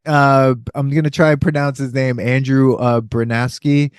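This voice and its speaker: A man's voice: mid-range voice, normal speed, clean tone, no echo. Dry-sounding man rambling about old movies. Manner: excited, rambling, trails off and restarts. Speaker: podcast co-host. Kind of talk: casual comedic movie chat.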